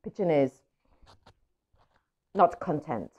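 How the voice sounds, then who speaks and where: A woman's voice: low voice, sing-song, slow pace, a little mellow. A woman, a native Spanish speaker, playfully and expressively reading a picture book aloud to children. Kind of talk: animated read-aloud storytelling. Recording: dull lo-fi audio.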